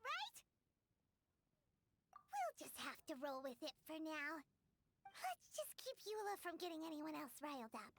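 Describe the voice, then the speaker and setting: A woman voicing a tiny, childlike female fairy in an anime video game, chatty and excitable, with a squeaky voice. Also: normal speed, pauses often, a little hiss or hum, heavily acted. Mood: chirpy, confident. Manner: talks about self in third person, exclamatory.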